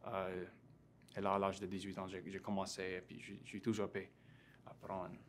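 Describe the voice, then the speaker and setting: A young man in his twenties with a Cajun French accent, talking as an interview guest, halting and full of fillers and restarts. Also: low voice, completely flat delivery, a little hiss or hum. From Louisiana. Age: 20s. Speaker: interview guest, graduate student. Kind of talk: casual interview conversation.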